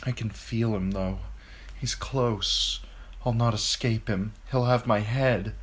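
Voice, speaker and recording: A man's voice: lowish voice, steady tone, takes it slowly, husky, voice pushed up. A man playing a frightened young noble girl. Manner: earnest, trying to soothe.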